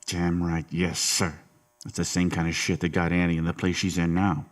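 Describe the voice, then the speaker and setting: A man's voice: deep voice, flat delivery, moderate pace, close to an everyday voice. An actor playing a menacing, controlling middle-aged father in an audio horror drama, with a folksy, coaxing, domineering manner. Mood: menacing anger.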